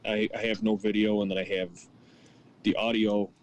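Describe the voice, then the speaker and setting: A man with a fairly deep voice dials into a podcast. He chats casually, with faint noise on the connection. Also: level tone, moderate pace. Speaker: podcast regular dialing in as a caller. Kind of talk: casual chat. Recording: noisy call-in connection.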